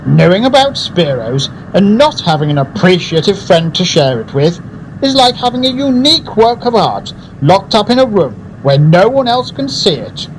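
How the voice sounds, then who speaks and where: A man's voice: sits high, sing-song, moderate pace, a little hiss or hum, poor audio. An actor playing an earnest, effusive advertising man and gourmet in a radio drama, speaking in a grandiose, theatrical way. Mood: earnest, wistful.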